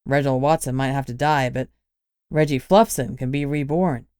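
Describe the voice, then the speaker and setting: A woman voicing a calm man who speaks in a low, easy, reassuring way. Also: moderate pace, smooth, no echo, studio quality, natural voice.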